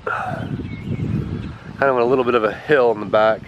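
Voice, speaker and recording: A man with a stuffed-up, congested voice, casually vlogging outdoors at a rural schoolhouse and talking in halting bits full of pauses and fillers. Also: mid-range voice, fairly even intonation, dull audio, background noise. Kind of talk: casual walk-around vlog narration.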